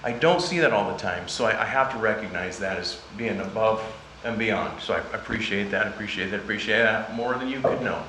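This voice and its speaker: A man who is a union business agent, speaking loudly and folksily into a handheld mic at a community meeting. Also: on the low side, brisk, slight background noise. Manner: loud, folksy, appreciative. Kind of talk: public comment at a meeting. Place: county meeting room. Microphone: handheld microphone. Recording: echoey meeting room.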